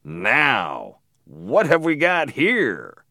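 A man voicing a menacing, drunk, thin villain who taunts and shouts threats in a story. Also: mid-range voice, huge pitch swings, very slow, gravelly, dry recording, clean studio sound, pitched above the performer's own voice, heavily acted. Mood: menacing, taunting.

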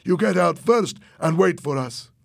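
A man's voice: fairly high pitch, measured pace, creaky, dry recording, clean studio sound, voice pushed up. A man acting a cruel, menacing henchman in a story reading, barking orders and threats in a mocking way. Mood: cruel, commanding. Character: cruel, menacing henchman with a rifle, obedient to boss.

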